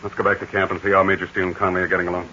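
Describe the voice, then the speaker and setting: A steady, commanding aviator hero in a 1940s radio serial, speaking low and quick with a bright edge. The old recording is dull and lo-fi, with faint hiss behind it. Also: male voice. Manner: calm, assured, commanding. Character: brave steady male aviator hero, middle-aged. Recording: dull lo-fi 1940 radio transcription.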